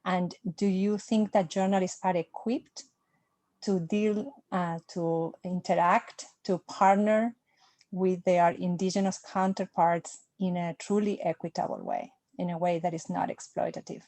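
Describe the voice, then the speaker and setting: Low-voiced woman moderating a panel. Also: animated, warm tone. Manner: measured, asks long framed questions.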